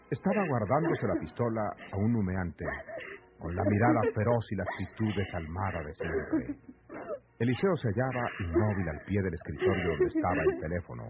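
A man's voice: on the low side, very noisy. A man with a Mexican accent narrating an old radio serial as a solemn, dramatic storyteller. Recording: tinny old AM radio, music behind.

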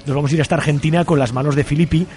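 Chatty, fast-talking male radio host from Spain. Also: a little high, fairly even intonation, a little mellow. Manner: informal, chatty. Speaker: radio show host interviewing guests. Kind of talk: casual radio interview.

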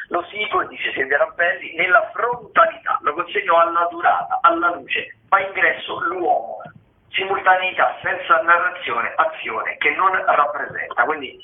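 A man hosting a radio show, discursively presenting theatre listings and thinking aloud as he reads. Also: high-pitched. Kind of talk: semi-improvised radio commentary on theatre listings. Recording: tinny phone-like radio sound, roomy echo.

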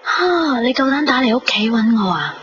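An actress playing a wistful, guarded young woman in love in a radio drama, soft and introspective, with a Hong Kong accent. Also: a little high, sing-song, moderate pace, background noise. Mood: playful. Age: young adult. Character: wistful, guarded 22-year-old daughter in love. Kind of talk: radio drama acting and narration. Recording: dull 1990s radio recording under music. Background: guitar music.

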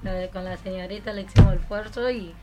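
A woman's voice: mid-range voice, steady tone, fast, over loud music. A woman chatting casually and informally as a student guest on a radio show. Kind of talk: casual chat.

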